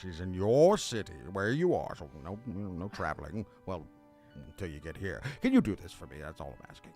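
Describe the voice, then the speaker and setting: A man plays a wealthy business magnate and put-upon father in a comedy radio play. His low voice swings up and down as he talks in a halting, exasperated, pompous way, with music behind him. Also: big pitch swings, darkish tone. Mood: flustered. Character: harried, self-important wealthy textile magnate father. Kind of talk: comedic improvised radio drama table read.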